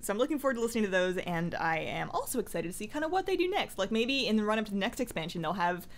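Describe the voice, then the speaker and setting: A woman's voice: medium pitch, big pitch swings, rapid-fire. A woman chatting casually to camera as a gaming vlogger at her desk, with playful asides and self-interruptions. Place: indoors at a home desk.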